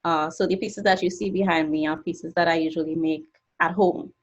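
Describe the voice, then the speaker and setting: A low-voiced woman in her twenties explains her work in an earnest, steady way, with many small "uh"s and "so"s. The sound comes through dull, lo-fi video call audio from her home studio. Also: a little fast. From Trinidad and Tobago. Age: 20s. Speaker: guest artist. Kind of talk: presentation talk.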